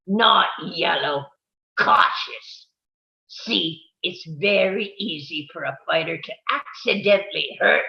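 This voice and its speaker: Protective old male boxing trainer, gravelly, read by an actor, dull roomy recording. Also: mid-range voice, takes it slowly. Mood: urgent. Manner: blunt, pleading. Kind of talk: live online table read.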